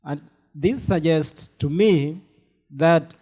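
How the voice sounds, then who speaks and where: A man from Kenya, a panelist with a business doctorate, answering in a measured, deliberate way. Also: on the high side, warm tone.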